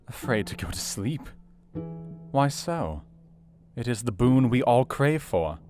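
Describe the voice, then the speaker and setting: An actor playing an earnest, caring Victorian doctor in a radio play, narrating his diary in a measured, formal way. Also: mid-range voice, very animated, darkish tone. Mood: gently concerned. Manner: measured, formal, literary delivery. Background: plucked guitar music.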